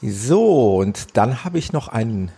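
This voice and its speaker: A man's voice: medium pitch, big pitch swings, slow pace, mellow, slight background noise. A man hosting a podcast, interviewing guests in an enthusiastic, affirming way. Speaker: podcast host. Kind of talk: casual interview.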